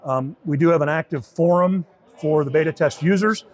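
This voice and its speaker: A man's voice: on the high side, moderate pace, darkish tone. A man giving an informal, step-by-step demo and explanation of how something works. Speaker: beta test supervisor giving a product demo.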